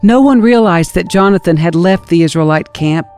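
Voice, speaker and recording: A woman's voice: fairly low pitch, normal speed, darkish tone, soft background music. A woman reading scripture as a scripted audiobook narrator, in a storytelling manner. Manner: storytelling, dramatizes quoted speech.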